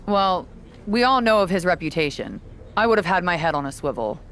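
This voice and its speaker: A woman playing a calculating veteran wrestler in a video game cutscene, talking in a cool, condescending, measured way. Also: on the low side, lively intonation, a bit bright, slight background noise.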